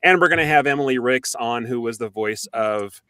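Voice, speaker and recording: Bright-voiced male podcast host. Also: medium pitch, a little fast, clean tone, dry recording, studio quality. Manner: upbeat, chatty sign-offs. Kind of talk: casual interview chat.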